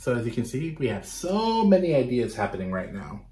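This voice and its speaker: A man in his thirties reading a picture book aloud to children in an expressive way, talking to them directly. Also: mid-range voice, a little fast, slight background noise. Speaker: storytime reader for children. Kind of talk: animated picture-book read-aloud with asides to young viewers. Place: small bedroom at home, indoors.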